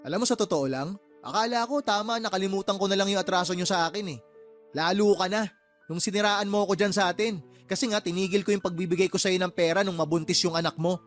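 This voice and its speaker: A man acting as a high-pitched, exasperated brother. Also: quick pace, faint music behind, close to an everyday voice. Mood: angry, fed up. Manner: heated, scolding, sarcastic questioning. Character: exasperated uncle and boss, fed up with his sister. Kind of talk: Tagalog radio drama acting.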